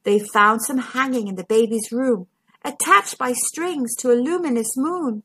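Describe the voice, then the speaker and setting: A woman storytime host with a husky voice, reading a children's story expressively to young listeners. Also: a little high, measured pace, fairly bright tone. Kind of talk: storybook read-aloud narration.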